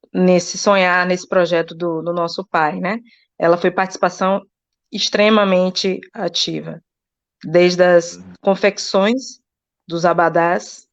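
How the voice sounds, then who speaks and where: A woman's voice: fairly low pitch, no echo. A woman recalling her family's role in a project, reflective and full of "né" tags. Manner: slow, reflective, many "né" tags. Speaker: guest, daughter of the master. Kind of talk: storytelling in interview. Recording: dull dry sound.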